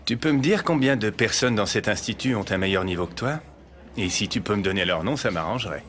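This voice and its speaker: A man's voice: lowish voice, animated, brisk, lightly acted. An actor playing a sly, easygoing male fighter who teases while probing for information, in a French anime dub. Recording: noisy background.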